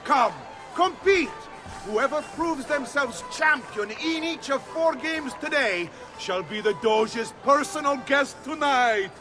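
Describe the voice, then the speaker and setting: High-pitched flamboyant Italian carnival announcer. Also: male voice, animated, exaggerated voice. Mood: rousing showmanship. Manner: proclaiming, drawn-out showman delivery. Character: flamboyant male carnival announcer, theatrical and grandiose. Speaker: games announcer. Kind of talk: theatrical game cutscene acting. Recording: loud music and crowd behind. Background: music and crowd.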